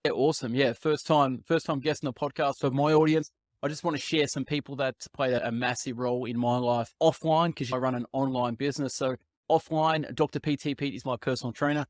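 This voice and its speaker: Upbeat podcast host. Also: male voice, mid-range voice, fairly even intonation, brisk, dry recording, studio quality. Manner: upbeat, asks rambling questions. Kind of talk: interview hosting.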